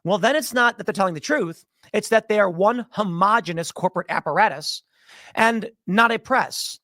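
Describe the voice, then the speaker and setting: A man's voice: sits high, moderate pace, sharp tone, no echo, clean studio sound. A man from Chicago delivering an argumentative, emphatic political commentary monologue, often restarting his sentences.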